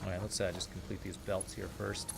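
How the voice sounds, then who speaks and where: A man's voice: low-pitched, little pitch movement, a little fast, creaky, over music. A man with a slight Texas twang, thinking aloud as he casually narrates his gameplay. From California, raised in Texas from age nine. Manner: thinking aloud, mumbling asides. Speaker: gaming channel host.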